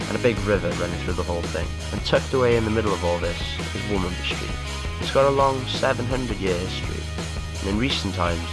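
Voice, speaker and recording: A man narrating a documentary as its presenter, in a steady, measured voice-over. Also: low-pitched. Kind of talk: scripted narration.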